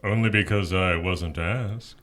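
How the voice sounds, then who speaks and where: A man's voice: low-pitched, measured pace, a bit bright. An actor playing a sly devil in an audio drama, nonchalant and mocking as he needles. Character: sly, needling devil, Dark Lord of the Damned.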